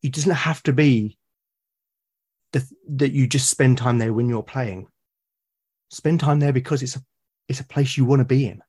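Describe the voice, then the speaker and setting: British man, interview guest, middle-pitched voice, reflective, rambling restarts. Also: moderate pace, long pauses, a little mellow, slightly rough. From Britain. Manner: thoughtful, often restarts and repeats words mid-sentence. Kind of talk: reflective casual conversation.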